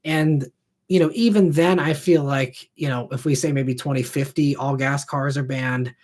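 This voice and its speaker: A man's voice: medium pitch, fairly even intonation, moderate pace, darkish tone. A man in his thirties hosting a live stream, answering viewer questions in a rambling, casual way full of fillers. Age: 30s. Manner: rambling, heavy on fillers like "you know" and "I mean". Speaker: host.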